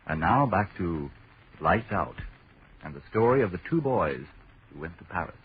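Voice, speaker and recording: A man's voice: low-pitched, big pitch swings, normal speed. An American man delivering emphatic, reassuring 1940s radio advertising patter as an announcer. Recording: tinny noisy old radio broadcast.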